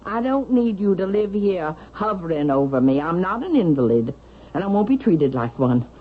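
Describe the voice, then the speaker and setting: Casting: a haughty elderly Southern matriarch, low voice, sharp and demanding, old radio drama on a dull tape. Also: female voice, big pitch swings, moderate pace. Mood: indignant, haughty. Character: proud, imperious, suspicious elderly Southern mother, wealthy widow. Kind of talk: vintage radio drama acting. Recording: dull vintage radio broadcast, faint noise.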